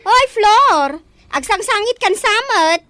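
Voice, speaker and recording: A Filipino woman playing a frank, forgiving young village woman in a radio drama, speaking with deliberate exclamations. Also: very high voice, animated, background noise, exaggerated voice. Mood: surprised. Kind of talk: Ilocano radio drama acting.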